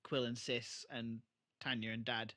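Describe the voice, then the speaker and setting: A man's voice: medium pitch, flat delivery, measured pace, bright, forceful tone. A man co-hosting a podcast, recapping in a casual, sweary, conversational way. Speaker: podcast co-host. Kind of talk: casual chat, recapping a TV episode.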